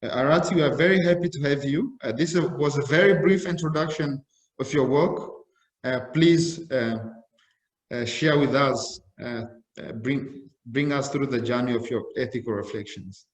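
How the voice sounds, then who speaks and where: Male webinar moderator, mid-pitched, halting delivery as he introduces a guest, roomy echoing audio. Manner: hesitant, halting. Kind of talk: introducing a speaker.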